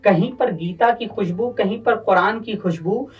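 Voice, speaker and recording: A man's voice: high voice, a little slow, distant mic, lo-fi sound. A man hosts a poetry gathering, heard a little away from the microphone with faint plucked-string music underneath. He delivers couplets and introductions in a declamatory style, repeating phrases for effect. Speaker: poet and host of poetry gathering. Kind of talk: Urdu-Hindi couplet recitation and formal introductions.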